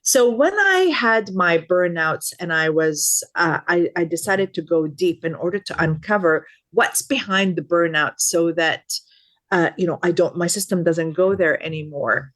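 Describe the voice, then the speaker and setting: A woman's voice: fairly low pitch, animated, measured pace, fairly bright tone. Earnest woman in her fifties, emphatic. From Lebanon. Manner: earnest, repeats words for emphasis. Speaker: guest expert. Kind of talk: podcast interview.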